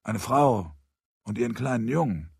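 A man voicing a calm, stern man in an audiobook, putting quiet, measured, probing questions. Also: medium pitch, vocal fry, husky, dry recording, clean studio sound, full character voice. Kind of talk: audiobook character voice.

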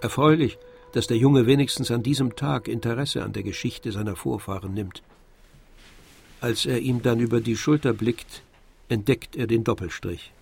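A man's voice: mid-range voice, normal speed, gravelly, a little mellow, slight background noise. Male narrator of a literary radio drama. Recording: faint music behind the narration. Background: faint music.

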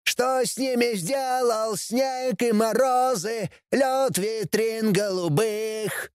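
A man's voice: high-pitched, very animated, normal speed, a little mellow, no room sound, clean studio sound, soft background music, voice pushed up. A man voicing a bratty young demon prince in a storybook reading, with an insolent, whining, taunting manner. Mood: loud singing. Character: bratty, cheeky young demon prince, mocking his father.

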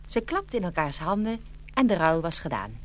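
A woman narrating a children's fairy tale from a script in an expressive storytelling manner. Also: lowish voice, brisk.

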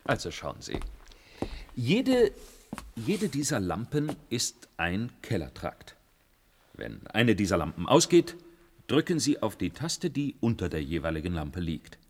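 Casting: scheming technocrat, low voice with lively inflection, instructive, reverberant sci-fi radio play. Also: male voice, unhurried, heavily acted. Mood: smooth, instructive. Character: calculating male technocrat official instructing a recruit. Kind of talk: science fiction radio drama acting. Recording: long reverberant hall sound.

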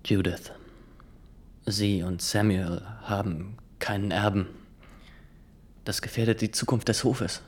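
An actor in a German radio drama playing a formal, zealous nineteen-year-old state inspector who questions insistently and haltingly. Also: male voice, lowish voice, steady tone. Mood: formal, probing. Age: young adult.

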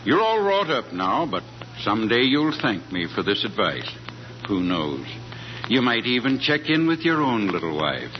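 A man's voice: lowish voice, big pitch swings, moderate pace. An actor playing a fatherly, moralizing veteran hotel detective, sententious, in 1940s radio comedy style. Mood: preachy, fatherly. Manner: sententious, preachy. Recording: muffled, faintly noisy 1940s radio recording.

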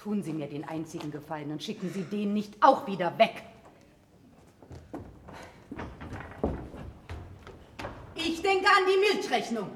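A woman playing a no-nonsense, scolding mother with a sharp, sarcastic manner in a classic radio play. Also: medium pitch, animated, fairly quick, close to an everyday voice. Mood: dry, exasperated. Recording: roomy sound with faint noise.

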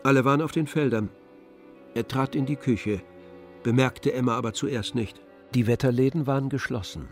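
A man narrating a literary radio play in a measured, composed manner. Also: mid-range voice. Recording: dry studio with faint music.

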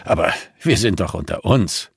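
A man's voice: low voice, animated, moderate pace, creaky, husky, no room sound, clean studio sound, heavily acted. A man voicing a jovial, patronizing, muscular rich father with a commanding, expansive manner in an audiobook. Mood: eager, patronizing.

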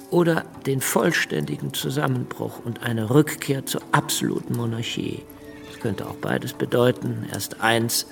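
An austere, intense revolutionary in a German radio drama. His gravelly, medium-pitched voice weighs each point with emphatic pauses and deep mistrust. Music plays strongly behind him. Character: principled, suspicious revolutionary politician, austere and intense.

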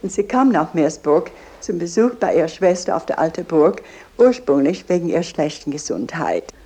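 A woman's voice: fairly low pitch, big pitch swings, moderate pace, mellow. A woman as a museum guide, addressing visitors directly and explaining in a didactic way. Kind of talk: explanatory guided-tour talk.

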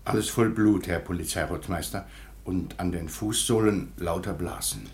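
A humble master shoemaker in a radio play, polite and eager to have his say. His voice sits low. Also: normal speed. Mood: deferential. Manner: servile and deferential.

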